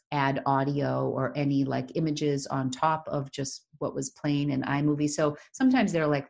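A woman's voice: low-pitched, creaky, darkish tone. A woman in her forties from Massachusetts, co-hosting a podcast in rambling casual chat with frequent laughs. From Massachusetts, USA. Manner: chatty, rambling, laughs often. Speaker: podcast co-host.

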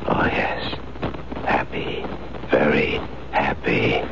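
Very deep, gravelly, unhinged husband. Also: male voice, fairly even intonation, full character voice. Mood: brooding. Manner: slow, ominous, halting. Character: menacing, unhinged husband brought home in restraints. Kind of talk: radio drama acting. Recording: muffled, hissy 1970s radio recording.

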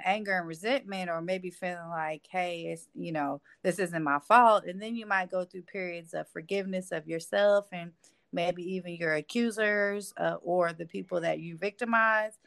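A woman's voice: medium pitch, fairly bright tone, no echo, clean studio sound. A woman speaking as an interview guest in a conversational way.

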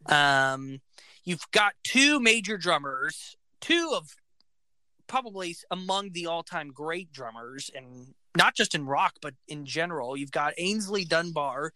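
High-voiced man, bright and dry, eager podcast host reeling off band facts. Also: expressive, takes it slowly, no echo. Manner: enthusiastic, fact-filled, says "like" often. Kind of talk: casual music chat.

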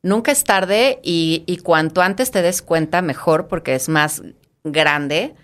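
A woman's voice: on the low side, slow, fairly bright tone. A middle-aged woman with a Mexican accent, a guest in an interview, talking eagerly and often restarting or repeating her words. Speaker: guest, fitness studio founder. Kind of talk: casual interview talk.

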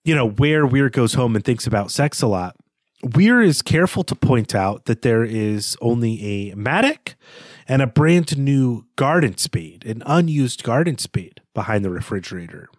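A man's voice: mid-range voice, expressive, normal speed, no echo, studio quality. A man co-hosting a podcast, talking through a literary analysis in a thoughtful, hesitant, conversational way. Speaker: podcast co-host.

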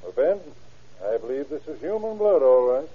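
An actor playing a patient, obliging small-town doctor in an old-time radio drama, explaining in a folksy way. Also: male voice, medium pitch, very animated, moderate pace, slight background noise, close to an everyday voice. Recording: tinny, band-limited old radio recording.

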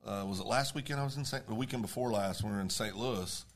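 A man's voice: lowish voice, fast. A man co-hosting a podcast in casual chat, with a big voice, telling stories with frequent restarts. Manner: talkative storyteller, many restarts. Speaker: podcast co-host.

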